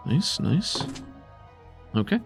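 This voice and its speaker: A man running a tabletop game, narrating scenes and talking through rules as game master. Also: extremely slow. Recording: music under the voice. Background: background music.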